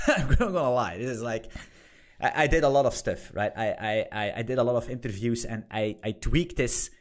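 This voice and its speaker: A man in his thirties whose first language is Dutch, teaching an informal online course in a blunt, swearing manner and repeating his points for emphasis. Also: mid-range voice. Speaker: course instructor. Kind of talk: informal screen-share lesson.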